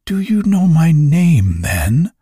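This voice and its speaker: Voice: medium pitch, sing-song, slow pace, dark tone, husky, no room sound, clean studio sound, pitched above the performer's own voice, full character voice. A narrator voicing a kindly, curious little girl in a storybook, earnest and full of wonder. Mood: astonished.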